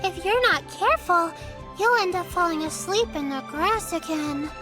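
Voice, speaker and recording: A woman's voice: very high-pitched, animated, normal speed, music in the background. A woman voicing an earnest, caring young girl apprentice who fusses over an older friend in a video game.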